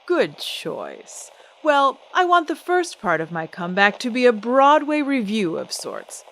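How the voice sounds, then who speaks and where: An actress playing a proud, guarded singer and stage star, theatrical and poised in an old-time radio drama style. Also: female voice, a little high, big pitch swings, unhurried, a bit bright, exaggerated voice, low-quality recording. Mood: businesslike. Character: proud, guarded female singer-actress, a glamorous celebrity diva. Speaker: celebrity interview guest.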